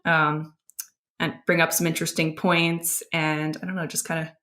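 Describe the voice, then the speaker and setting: A woman's voice: low voice, little pitch movement, normal speed, fairly bright tone. An American woman co-hosting a podcast in casual, conversational talk. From southern New Jersey, United States. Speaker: podcast co-host. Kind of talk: casual chat.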